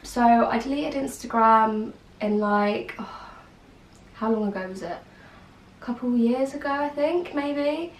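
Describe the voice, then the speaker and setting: A woman's voice: fairly high pitch, measured pace, distant mic. A twenty-one-year-old woman, a student, talking hesitantly in a documentary interview with many "like" fillers. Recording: echoey room, voice from off-mic.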